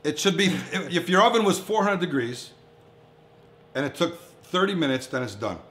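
A man's voice: a little high, lively intonation, moderate pace, fairly bright tone. Chatty male chef in an echoey kitchen. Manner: chatty, informal, addressing home viewers. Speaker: chef hosting a cooking show. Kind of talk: casual cooking demonstration. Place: commercial-style kitchen, indoors. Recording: echoey room, some background noise, kitchen clatter.

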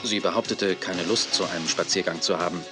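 An actor narrating a children's audio drama as its storyteller. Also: male voice, deep voice, steady tone, a little fast, natural voice. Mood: storytelling. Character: storyteller of a children's radio play. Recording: music under 1980s cassette audio play. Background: music.

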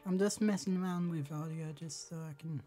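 A woman streaming a game live, hoarse from a cold and often clearing her throat, talking in slow, halting asides to herself. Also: low-pitched, dark tone, soft background music. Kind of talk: casual live game commentary.

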